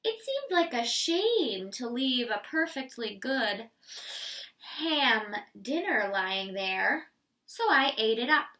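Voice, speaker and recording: Woman reading as an animated, aggrieved storybook wolf. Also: fairly high pitch, slow pace, far from the mic, exaggerated voice. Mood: matter-of-fact, sly. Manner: confiding, addresses listeners directly. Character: male wolf, chatty, aggrieved, self-excusing storyteller. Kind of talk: animated children's storybook read-aloud.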